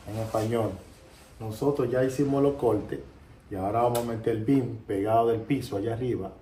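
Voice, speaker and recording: A construction worker, a man, quickly explaining the job on site in a casual, offhand way. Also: medium pitch, steady tone, dark tone. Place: gutted house under renovation, indoors. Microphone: camera mic from across the room. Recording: echoey room from far off, faint site noise.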